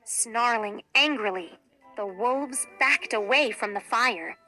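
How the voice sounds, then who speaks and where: A woman reads a children's storybook with a high, bright voice. Her delivery is expressive and dramatic, taking its time over each phrase. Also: faint music behind, low-quality recording. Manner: expressive, deliberate. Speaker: storybook reader.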